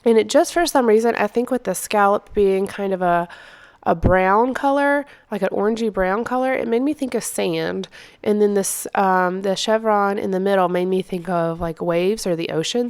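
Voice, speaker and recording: Congested American woman, smooth clear voice. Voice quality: congested, stuffy-nosed. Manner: low-key, not very peppy. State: congested from being under the weather. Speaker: craft video host. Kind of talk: casual crafting voice-over, unscripted.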